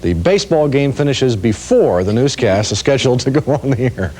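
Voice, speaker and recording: A man's voice: medium pitch, animated, brisk, a little mellow, slight background noise. An American man presenting a television weather forecast in a chatty, partly improvised studio style.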